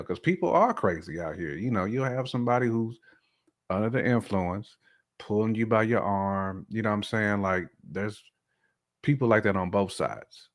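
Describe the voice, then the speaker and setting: A man co-hosting a talk show, giving his opinions casually in colloquial, slangy speech. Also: fairly low pitch. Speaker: podcast co-host giving a man's point of view.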